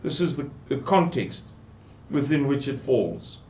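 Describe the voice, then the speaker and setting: An older South African man with a South African English accent, slowly musing through a Bible passage and repeating words for emphasis. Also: medium pitch, big pitch swings, darkish tone. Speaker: Bible teacher. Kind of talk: unhurried scripture commentary. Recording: echoey room.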